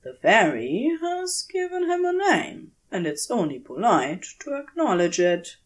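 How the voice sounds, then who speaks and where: A woman reading a story aloud as a scripted fiction narration, with measured, expressive storytelling. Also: medium pitch. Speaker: podfic narrator.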